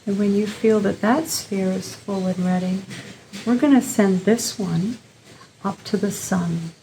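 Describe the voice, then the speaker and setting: A woman leading an informal guided meditation, speaking gently in short, unhurried phrases with pauses between them. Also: medium pitch, fairly even intonation, a little hiss or hum, rough recording.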